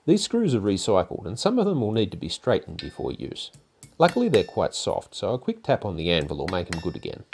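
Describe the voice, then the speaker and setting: An older man narrating a woodworking project in a calm, methodical, step-by-step way, as host of his own workshop channel. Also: on the low side, brisk, vocal fry, a little hiss or hum. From Australia. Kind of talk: instructional voice-over narration. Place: home garage workshop.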